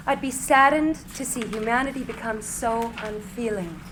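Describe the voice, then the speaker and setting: A woman's voice: fairly high pitch, close to an everyday voice. An actress playing a poised, teasing society woman in a script reading, with wry, formal delivery. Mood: wry, admiring. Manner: wry, deliberate, formal diction. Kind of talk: live screenplay reading. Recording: live room recording with faint noise.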